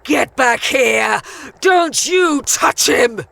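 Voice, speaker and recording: Shrill, theatrical female vampire elf. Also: animated, extremely slow, gravelly, a bit bright, raised voice, a little hiss or hum, full character voice. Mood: angry, mocking. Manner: bickering, theatrical, doting. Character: fierce, protective female dusk elf vampire. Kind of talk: audio drama acting.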